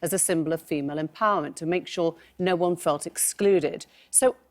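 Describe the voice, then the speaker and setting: Woman, moderator, low pitch, brisk, steering a panel debate.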